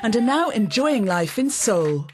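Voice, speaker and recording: A woman reporter narrating a news feature as a scripted voice-over, steady and even. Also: fairly high pitch, unhurried.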